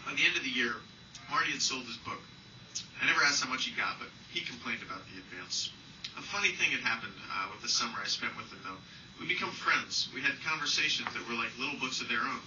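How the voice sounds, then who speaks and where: A man's voice: mid-range voice, quick pace. Wry man reading aloud, roomy distant recording. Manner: wry, self-deprecating. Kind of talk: live reading of a personal essay. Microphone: distant from the speaker. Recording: distant, roomy, muffled, noisy live recording.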